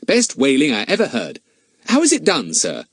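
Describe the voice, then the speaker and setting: A man's voice: mid-range voice, wildly swooping pitch, normal speed, breathy edge, no room sound, studio quality, pitched above the performer's own voice. A man voicing a clever, confident schoolboy sleuth in an audiobook, talkative, polite and mock-innocent.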